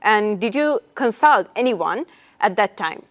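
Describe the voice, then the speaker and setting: Young female student host, mid-pitched, halting delivery full of uhs. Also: lively intonation. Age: young adult. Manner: hesitant, with many fillers and restarts. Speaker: interviewer. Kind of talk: interview questions and own answers.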